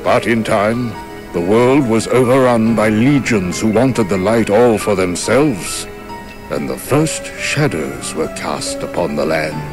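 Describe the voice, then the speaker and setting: Very deep, slow old wizard master. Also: male voice, animated, over music. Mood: solemn storytelling. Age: older. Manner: grave, formal, deliberate. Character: stern, solemn old wizard master instructing apprentices. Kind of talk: video game cutscene acting, expository.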